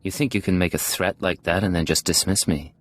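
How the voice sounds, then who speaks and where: An actor playing a cool, self-assured secret agent in a video game, speaking tersely and smoothly. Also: male voice, low-pitched, fairly even intonation, fairly quick. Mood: cool, flirtatious. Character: cool, confident male secret agent posing as a pirate.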